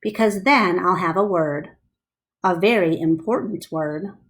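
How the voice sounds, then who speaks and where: A woman reading a storybook, voicing a thoughtful, gentle adult male gorilla in a plain, reflective manner. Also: lowish voice, measured pace. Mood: earnest, patient.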